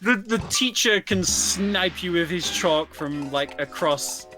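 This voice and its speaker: A man's voice: high-pitched, animated, slow, music in the background. A man giving casual, chatty co-commentary on a video game speedrun.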